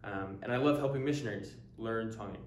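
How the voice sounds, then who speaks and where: A man's voice: on the low side, a little fast, slight background noise. Earnest young man in an echoey room. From United States. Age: early 20s. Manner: earnest, frequent ums and restarts. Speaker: language teacher. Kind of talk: casual talking-head introduction.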